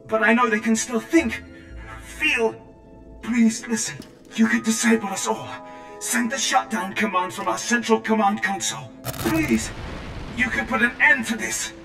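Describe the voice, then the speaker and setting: An actor playing a tormented soldier trapped in a droid body in a video game, pleading in anguish through a robotic filter. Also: male voice, high voice, unhurried, vocal fry. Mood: desperate. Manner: pleading, anguished, breaking into flat system announcements. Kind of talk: video game cutscene acting. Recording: echoey, distant, music underneath.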